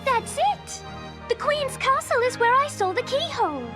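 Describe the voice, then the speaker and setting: A woman playing a polite, earnest young storybook girl in a video game, prim, gentle and careful. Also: extremely high pitch, sing-song, normal speed. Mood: recollecting. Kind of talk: animated game voice acting. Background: prominent music.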